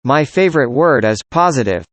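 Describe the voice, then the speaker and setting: A man reading a student's part in a scripted classroom conversation, with an even, measured delivery. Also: mid-range voice, dry recording. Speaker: student in a dialogue.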